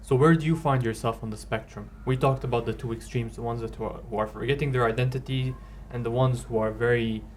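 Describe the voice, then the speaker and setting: A man hosting a casual podcast interview at a middle pitch. He speaks in a measured way and often pauses on "uh" and "um." A faint hiss sits under his voice. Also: steady tone. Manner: measured, hesitant, many "uh"s. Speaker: podcast host.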